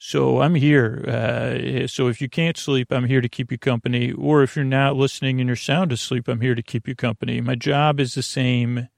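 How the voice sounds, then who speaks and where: A man with a creaky voice hosting a bedtime podcast in a rambling monologue, halting and meandering off into tangents. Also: medium pitch, fairly even intonation, dry recording, studio quality. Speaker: podcast host.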